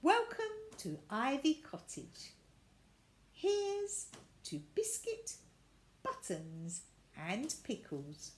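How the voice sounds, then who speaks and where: A woman voicing a kindly, practical motherly figure in a storybook, gentle and encouraging. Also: high-pitched, wildly swooping pitch, extremely slow, pauses often, pitched above the performer's own voice, full character voice. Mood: welcoming.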